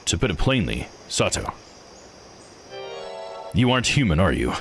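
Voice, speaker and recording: Man playing a deep, blunt, gruff guy. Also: sing-song, moderate pace, fairly bright tone, soft background music. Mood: stern, accusing. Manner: blunt, plain-spoken, probing.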